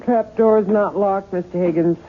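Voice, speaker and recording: A woman's voice: medium pitch, steady tone, moderate pace, lightly acted. Blunt, practical mother played by a woman. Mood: matter-of-fact. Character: plain-spoken, practical mother, blunt and loyal. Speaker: actress in a radio drama. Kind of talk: 1970s radio drama acting. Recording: hissy 1970s radio broadcast, dull band.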